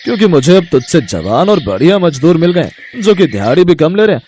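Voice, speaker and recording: Casting: scornful rich boss played by a man, harsh storybook villain, slightly high male voice. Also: sing-song, a little fast, a little mellow, slight background noise. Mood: dismissive. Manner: harsh, dismissive.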